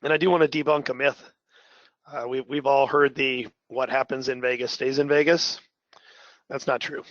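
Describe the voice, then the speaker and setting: A man with a cold-roughened, raspy voice presents a technical webinar in a relaxed, upbeat, conversational way. His recording sounds dry and a little dull, with no room echo. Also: medium pitch, moderate pace. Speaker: technical trainer.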